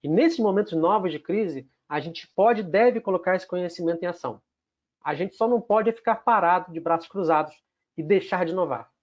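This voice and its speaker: A man with a Carioca accent speaks in a noticeably high voice, presenting a webinar in a structured way and counting off his key points one by one. The sound is dull and lo-fi, like an online stream. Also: a little fast. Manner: structured, lists numbered takeaways.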